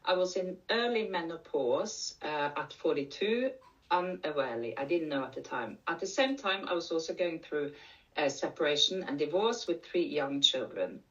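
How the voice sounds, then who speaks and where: A woman's voice: sits low, lively intonation, moderate pace, fairly bright tone, far from the mic, dull audio. Norwegian-accented woman presenting to a conference. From Norway. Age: middle-aged. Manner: earnest, repeats key points for emphasis. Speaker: menopause coach and speaker.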